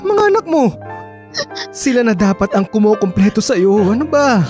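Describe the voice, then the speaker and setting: Earnest, questioning Filipino ex for radio drama, married and reluctant, lively pitch movement. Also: male voice, normal speed, soft background music. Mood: apologetic, exasperated. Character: married ex-boyfriend, reluctant, reasoning, exasperated.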